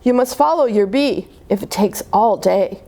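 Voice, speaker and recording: A woman's voice: mid-range voice, moderate pace, dark tone, natural voice. A woman voicing a boastful, confident father bear in a children's storybook, making pompous, emphatic pronouncements. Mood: self-assured.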